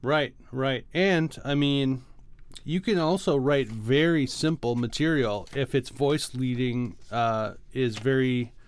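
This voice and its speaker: A man's voice: mid-range voice, slow, clear, resonant tone. A man co-hosting a podcast, casually explaining things in a patient, teacherly way with examples. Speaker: podcast co-host, music arranger and teacher.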